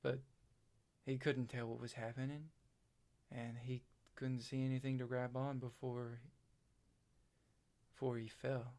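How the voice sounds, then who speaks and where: A man performs a self-doubting country farm boy in a stage drama. He speaks haltingly and plainly to a friend, like someone haunted and unsure of himself. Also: medium pitch, flat delivery, warm tone, lightly acted. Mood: haunted, confessional. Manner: halting, hesitant, plain-spoken. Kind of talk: stage play acting.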